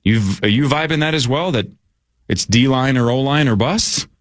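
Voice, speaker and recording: A man's voice: mid-range voice, no echo, clean studio sound. A man hosting a sports radio show, running a playful quiz and teasing his co-hosts in casual banter. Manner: playful, teasing quizmaster with drawn-out name reading.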